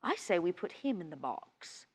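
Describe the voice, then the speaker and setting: A woman's voice: on the low side, very animated, normal speed, slightly rough. A woman voicing a shrewd, commanding female vampire with a theatrical, coaxing manner in a tabletop game. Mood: sly, scheming. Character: shrewd, commanding, sly female vampire gang schemer named Vera.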